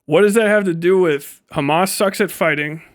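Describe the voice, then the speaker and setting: A man's voice: sits high, normal speed, no echo. A man giving a casual livestream rant as host, swearing freely in slangy, sarcastic talk and often laughing as he speaks.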